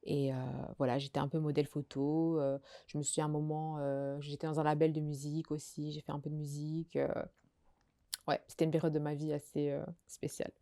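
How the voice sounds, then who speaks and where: A young woman from Belgium talks through her life story as a podcast guest. Her voice sits low and mellow, and she pauses often with fillers and restarts her sentences. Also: little pitch movement. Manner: hesitant, many fillers and restarts. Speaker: guest sharing her testimony. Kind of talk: casual conversational testimony.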